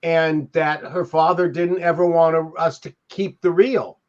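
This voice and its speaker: A man's voice: a little high, fairly even intonation, measured pace, a little mellow. An older man chatting loosely and ramblingly as a podcast co-host over a video call. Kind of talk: casual chat. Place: room at home, video call. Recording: dull lo-fi video-call audio.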